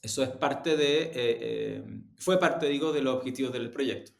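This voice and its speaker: Deliberate man in an echoey room. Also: medium pitch, creaky. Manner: deliberate, halting, self-correcting. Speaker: interview guest, media executive. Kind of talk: reflective interview talk. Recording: roomy echo on the voice.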